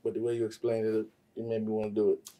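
A man's voice: fairly low pitch, completely flat delivery, quick pace, dark tone, far from the mic. A man from Trinidad chatting casually as an interview guest, rambling, slangy and given to swearing, a little away from the mic. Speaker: podcast guest, music producer and songwriter.

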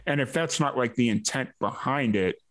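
A man with a mid-pitched voice hosts an informal chat show. He asks his questions in a laid-back, conversational way. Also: moderate pace, fairly bright tone. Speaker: podcast host. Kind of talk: casual chat interviewing.

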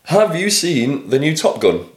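A podcast host chatting casually and reading out listener questions in a relaxed way. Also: medium pitch, measured pace. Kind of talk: casual chat. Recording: echoey room.

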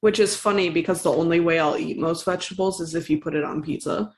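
A woman's voice: lowish voice, fast. A woman chatting casually as a podcast co-host. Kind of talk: casual chat.

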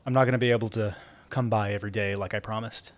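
A man's voice: on the low side, steady tone, fast talker, close to an everyday voice. Man playing a curt, kindly man on the run. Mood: quiet, firm. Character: terse, demanding young man on the run, secretly kind.

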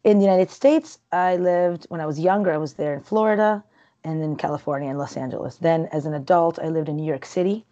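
A woman's voice: deep voice, a little fast, mellow, lo-fi sound. A woman from Peru in her thirties, talking casually as an interview guest, chatty and rambling with many fillers and restarts.

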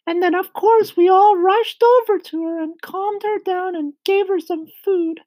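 A woman voicing a fretful, tearful female spider in a children's storybook, with a squeaky falsetto and dramatic lamenting. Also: unhurried, mellow, poor audio. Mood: mournful.

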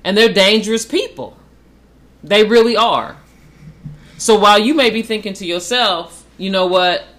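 A woman's voice: medium pitch, moderate pace, fairly bright tone. A woman co-hosting a podcast, giving blunt, profane relationship advice in a casual, preachy way. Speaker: podcast co-host.

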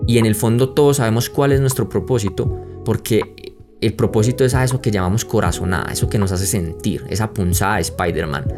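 A man's voice: lowish voice, brisk. Young Colombian man telling his story. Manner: reflective, conversational, speaks straight to listeners. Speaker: podcast host. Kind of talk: personal storytelling podcast. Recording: music playing under the voice.

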